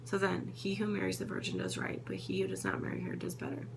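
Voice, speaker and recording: A woman reading Bible passages aloud in a steady, unhurried way, now and then correcting a slip. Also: lowish voice, gravelly, background noise. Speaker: Bible reader.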